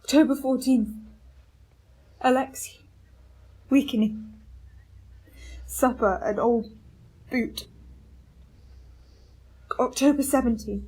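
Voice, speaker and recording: A woman playing a starving, resolute male expedition captain in a radio play, reading out a journal in a clipped, solemn, halting way. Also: high-pitched, mellow, breathy edge, heavily acted, poor audio. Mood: grim, weary.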